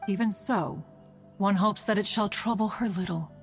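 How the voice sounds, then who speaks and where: A woman's voice: mid-range voice, normal speed, soft background music, lightly acted. A woman voicing a proud, caring crane immortal in a video game, speaking in formal, archaic phrasing. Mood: solemn, concerned. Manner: formal archaic diction, refers to self as "one". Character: aloof, stately female crane adeptus, proud yet caring. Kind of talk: scripted video game character acting.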